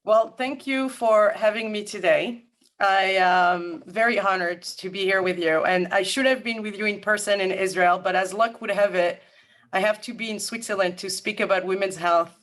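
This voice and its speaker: A woman's voice: medium pitch, steady tone, normal speed, a bit bright. French-accented woman giving a presentation. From France, grew up in Paris. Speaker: guest speaker, management consultant. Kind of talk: presentation to an audience. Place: remote video-call talk.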